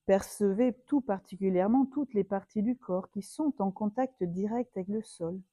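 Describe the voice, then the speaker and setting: A woman yoga teacher leading a guided relaxation meditation in a soothing, unhurried manner, leaving long pauses. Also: medium pitch, dark tone, no room sound.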